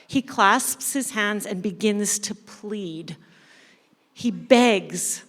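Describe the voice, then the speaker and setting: A woman reading a story dramatically to a congregation as a church storyteller, expressive and deliberate. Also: medium pitch. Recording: echoey hall with long reverb.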